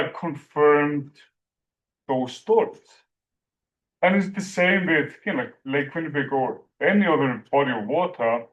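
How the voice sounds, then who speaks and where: A man from Iceland with an Icelandic accent giving an academic presentation, speaking haltingly with many fillers like "like" and "you know". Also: on the high side, low-quality recording.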